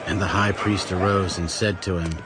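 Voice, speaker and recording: A man's voice: sits low, steady tone, normal speed, crowd noise, background noise. A man narrating a dramatized audio Bible in a scripted storytelling style. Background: music.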